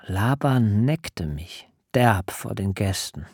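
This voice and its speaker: A slow, husky young bridegroom. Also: male voice, fairly low pitch, lively intonation, a little mellow, dry recording, clean studio sound, full character voice. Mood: reflective. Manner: slow, measured and reflective. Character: young male bridegroom recalling his wedding, earnest. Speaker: character in a radio play. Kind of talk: German radio drama acting.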